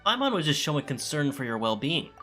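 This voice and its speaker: A man's voice: a little high, big pitch swings, fast talker, over loud music. A man reading video game dialogue choices aloud as a gaming video creator.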